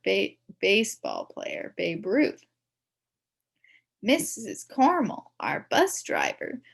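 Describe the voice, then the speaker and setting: A woman reading a children's story aloud in the first person as a kid, expressively, with dramatic emphasis and drawn-out words. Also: medium pitch, vocal fry, a bit bright, breathy edge. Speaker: storybook reader.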